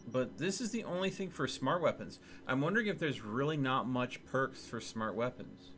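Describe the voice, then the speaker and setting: A man's voice: mid-range voice, lively intonation, normal speed, a bit bright, a little hiss or hum. A man streaming a game, musing to himself with hesitant, casual commentary.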